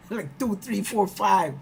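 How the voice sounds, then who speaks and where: A man from Guyana with a Guyanese accent, chatting casually on a livestream about his album, halting and repeating himself with many fillers. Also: high voice, animated, gravelly, faint music behind. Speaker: musician celebrating album release. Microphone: video call.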